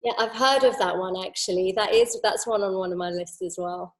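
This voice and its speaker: A woman's voice: mid-range voice, fairly quick. A woman hosting an online interview, opening with a scripted introduction and asking friendly questions. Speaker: interview host.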